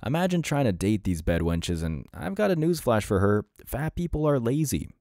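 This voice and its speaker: A man's voice: mid-range voice, very animated, moderate pace, warm tone, no room sound, studio quality. A man delivering scripted reaction commentary as a host, in a mocking, sarcastic and blunt manner.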